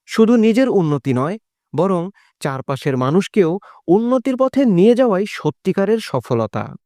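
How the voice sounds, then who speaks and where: A man's voice: sits high, sing-song, normal speed, mellow, no echo, clean studio sound. A man giving scripted narration for a moral story, as the narrator of a cartoon.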